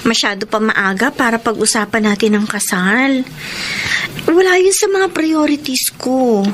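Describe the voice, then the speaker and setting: A woman's voice: fairly high pitch, unhurried, slight background noise, lightly acted. An actress playing an affectionate but firm young woman in a Filipino radio drama, reasoning and protesting expressively. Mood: gently deflecting. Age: young adult. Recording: loud music under the voice.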